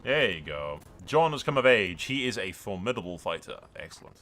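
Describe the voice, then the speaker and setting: A man's voice: fairly low pitch, sing-song, a little fast, fairly bright tone. A man giving casual, improvised commentary on a strategy game playthrough, storytelling in role and swearing freely in a jokey, colloquial way. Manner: foul-mouthed, colloquial, jokey. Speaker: video game streamer narrating a playthrough.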